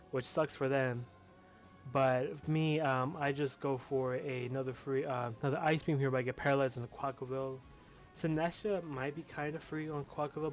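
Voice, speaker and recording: A young man with a lisp casually commentating his own competitive match, talking loosely with fillers and the odd swear word. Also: medium pitch.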